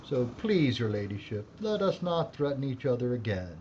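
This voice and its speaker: An actor playing a stern, skeptical British police chief inspector in a wartime mystery audio drama, formal and reproving. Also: male voice, medium pitch, big pitch swings, moderate pace, dark tone, highs cut off, a little hiss or hum, close to an everyday voice.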